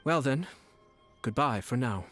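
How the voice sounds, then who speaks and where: An actor playing a composed, courtly, scheming lord in a video game, speaking in a measured, knowing way. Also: male voice, medium pitch, animated, fairly bright tone. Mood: calm, guarded. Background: music.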